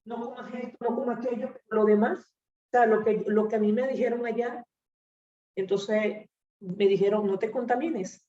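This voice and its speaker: A woman's voice: a little high, steady tone, moderate pace, mellow, far from the mic. A woman from Venezuela, giving a hesitant, rambling personal testimony full of fillers. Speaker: church member. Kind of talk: personal testimony in a group discussion. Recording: echoey room, off-mic, muffled.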